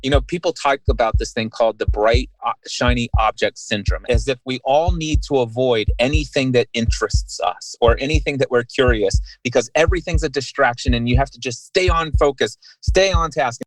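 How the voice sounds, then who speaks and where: A man's voice: mid-range voice, brisk, few pauses, dry recording, poor audio. Man reflecting in dry audio. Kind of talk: casual conversation.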